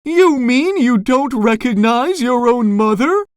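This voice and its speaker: A woman's voice: a little high, expressive, slow pace, no room sound, clean studio sound, pitched above the performer's own voice. A woman voicing a bossy, scolding cartoon mother pufferfish in a children's storybook, commanding and insistent. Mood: surprised. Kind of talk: children's storybook character reading.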